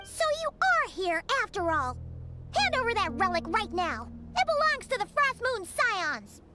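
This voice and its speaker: Bossy young game heroine, super high lively voice, loud music all over it. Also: female voice, measured pace, full character voice. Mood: demanding. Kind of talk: video game voice acting.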